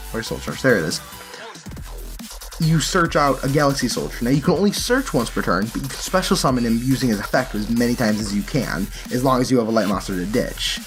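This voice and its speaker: A man giving a casual, explanatory walkthrough as a card game player presenting a deck. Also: mid-range voice.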